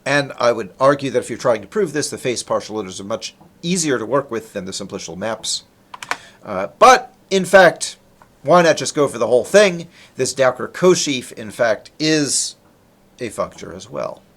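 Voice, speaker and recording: A man presents a technical talk with slides in a conversational way. His pitch moves up and down in a lively fashion, and he often puts a question to his listeners and then answers it himself. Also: moderate pace, breathy edge. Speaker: lecturer, researcher presenting. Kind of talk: informal technical lecture with slides.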